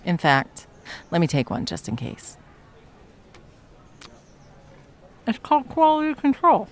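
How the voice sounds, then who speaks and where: A performer playing a jaded, sarcastic cafe baker with a villainous side, speaking in a dry, menacing deadpan for a comedy audio roleplay. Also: medium pitch, moderate pace. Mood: bossy, dry. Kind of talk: ASMR comedy roleplay acting.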